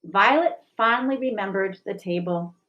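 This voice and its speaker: Mid-pitched woman sharing a children's book. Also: normal speed, fairly bright tone, highs cut off. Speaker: school staff member reading aloud. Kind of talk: children's storybook read-aloud and informal discussion.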